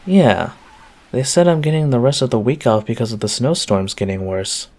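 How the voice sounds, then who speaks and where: A man's voice: medium pitch, animated, normal speed, a little mellow. A man playing an affectionate, easygoing boyfriend in an intimate roleplay audio, talking tenderly and casually straight to the listener. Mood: relaxed, reassuring. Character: affectionate easygoing boyfriend home from work on a snowy night.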